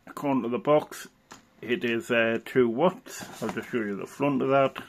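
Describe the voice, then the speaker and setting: A man with a creaky, gravelly voice presents a piece of gear in an informal, hands-on way. His delivery is unscripted and a little hesitant, at a middling pitch. There is faint noise on the amateur recording. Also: normal speed. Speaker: presenter showing vintage radio gear. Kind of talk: informal hands-on product demonstration. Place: indoors at a worktop.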